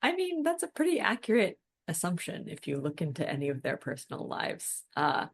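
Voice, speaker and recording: A woman's voice: fairly low pitch, huge pitch swings, brisk, vocal fry, husky. A woman co-hosting a podcast, discussing books in a casual, conversational way. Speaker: podcast co-host.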